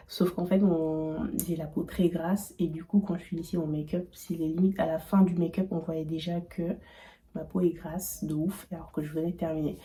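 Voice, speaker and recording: A woman's voice: fairly low pitch, warm tone. A young woman in her twenties with a cold, chatting casually while doing her makeup, rambling with many fillers and restarts. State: has a cold. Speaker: beauty vlogger.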